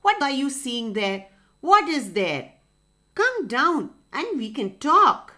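A woman's voice: on the high side, huge pitch swings, slow, a bit bright, full character voice. A woman voicing a cunning male fox who coaxes and later panics in a shaky voice. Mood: wheedling. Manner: wheedling, then shaky.

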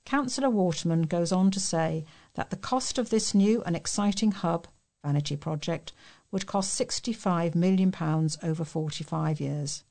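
British woman reading news, low creaky voice. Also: moderate pace, darkish tone. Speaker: volunteer newsreader for a talking newspaper. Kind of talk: reading local news articles and letters aloud.

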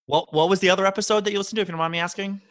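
A man guesting on a podcast, chatting casually and telling animated stories. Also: high-pitched, dry recording, clean studio sound. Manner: animated, quick storytelling. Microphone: podcast microphone.

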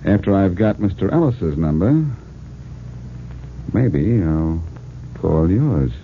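A cocky amateur detective, low-voiced and lively, with breezy wisecracks. It is 1940s radio acting on a thin, tinny recording. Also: male voice, takes it slowly, background noise, exaggerated voice. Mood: cool, teasing. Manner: breezy, sardonic, flirtatious.

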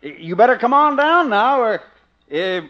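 An actor playing a folksy, slow-witted small-town marshal in a 1950s radio sitcom. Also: male voice, very high voice, animated. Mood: amiable, befuddled. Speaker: supporting actor in a radio comedy. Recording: noisy muffled old radio recording.

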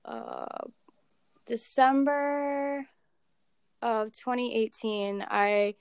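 A woman in her twenties, a podcast guest, chatting casually about her work in a level, monotone way. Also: a little high, extremely slow, gravelly, clear, resonant tone, dry recording. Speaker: podcast guest, assistant director. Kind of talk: casual chat.

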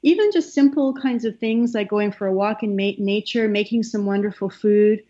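A middle-aged woman from Canada, a soft-spoken guest who talks with many fillers and pauses. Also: medium pitch. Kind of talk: casual interview talk. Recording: slightly dull, lo-fi sound.

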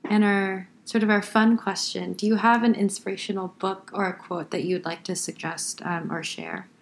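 A woman's voice: mid-range voice, steady tone, moderate pace, gravelly. A woman hosting a casual podcast interview, asking questions and affirming her guest with short encouraging replies. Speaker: podcast host.

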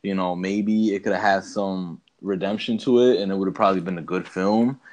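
A man's voice: low voice, fairly even intonation, a little fast, a little mellow. A man chatting casually as a podcast co-host, slangy and ranting about movies, often addressing his partner as bro.

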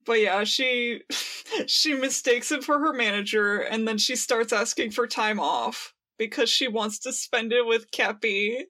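Playful, higher-voiced woman podcaster. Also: measured pace, bright, forceful tone. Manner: playful, informal, emphatic. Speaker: podcast co-host. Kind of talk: casual chat, fan discussion.

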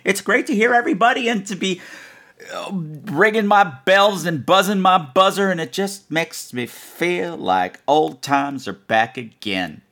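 High-pitched, animated man hosting a podcast. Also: a little slow. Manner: playful, exclamatory, repeats phrases for effect. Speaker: podcast host. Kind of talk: casual, chatty podcast monologue.

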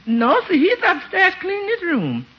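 An actress playing an obliging, respectful household maid in a 1950s radio comedy. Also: female voice, very high voice, huge pitch swings, normal speed, exaggerated voice. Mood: dutiful. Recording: dull 1950s radio recording.

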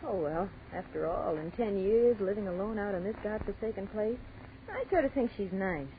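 An actress playing a brash, impatient, wisecracking college student in a 1940s radio drama, sarcastic and blunt. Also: female voice, medium pitch, lively intonation, moderate pace, narrow, tinny audio, lightly acted. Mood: wry, relaxed. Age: young adult. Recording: scratchy, thin 1940s radio recording.

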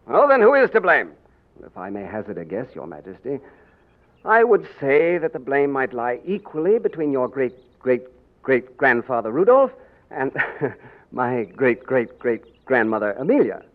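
Tinny 1940s radio dashing gentleman played by a man. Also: mid-range voice, big pitch swings, moderate pace, close to an everyday voice. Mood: suave, courteous. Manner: theatrical, courtly, crisply spoken. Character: dashing gentleman adventurer and his excitable royal double. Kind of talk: 1940s radio drama acting. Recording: tinny, narrow old radio broadcast.

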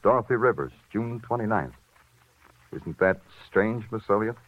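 An American actor playing a wry, world-weary New York police detective in a vintage radio noir drama. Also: male voice, fairly low pitch, lively intonation, normal speed. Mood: businesslike. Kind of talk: 1950s radio noir acting and narration. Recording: muffled old radio recording with music.

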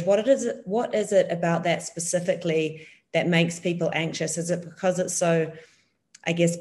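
A woman's voice: on the low side, a little mellow. A woman interviewing a guest, asking long, carefully framed questions. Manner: asks long framing questions.

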